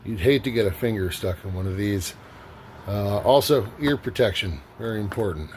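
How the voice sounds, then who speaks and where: A man's voice: on the low side, expressive, moderate pace, darkish tone, a little hiss or hum. A man giving casual, cautionary how-to instruction about tool grinding in a home workshop, with frequent ums and a voice a little off after the flu. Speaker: rock club tool demo host.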